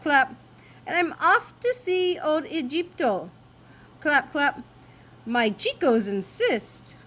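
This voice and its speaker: A woman's voice: high-pitched, a bit bright, voice pushed up, heavily acted. A woman voicing a little kitten boy who plays a masked hero and chants in a singsong storybook style.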